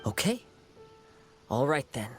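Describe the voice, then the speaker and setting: Young game swordsman, poetic, courteous, formal, expressive pitch, loud music behind. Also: takes it slowly. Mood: composed. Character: young male wandering swordsman, poetic and composed. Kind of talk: video game voice acting.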